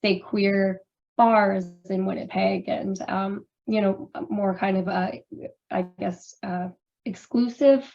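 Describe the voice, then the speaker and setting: A woman panelist who performs drag, speaking casually and candidly in a hoarse voice she says she has lost, with many fillers. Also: mid-range voice, fairly even intonation, slow, a little mellow, muffled recording.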